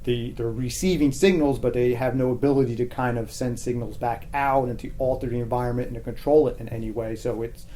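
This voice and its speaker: Mellow-voiced man thinking aloud. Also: mid-range voice, steady tone, a little hiss or hum. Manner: halting, thinking aloud, hedged phrasing. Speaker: podcast guest, teacher. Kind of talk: casual reflective conversation.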